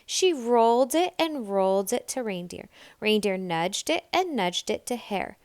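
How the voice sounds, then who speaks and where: A woman reading a children's story aloud as scripted narration, in a deliberate, expressive storytelling manner. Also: fairly high pitch, dry recording. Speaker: storybook reader.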